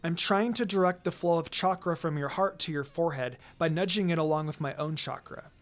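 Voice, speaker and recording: A man voicing a gentle, focused teenage ninja girl in a storybook reading, composed, careful and polite. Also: fairly high pitch, a little fast, natural voice. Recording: music behind the voice.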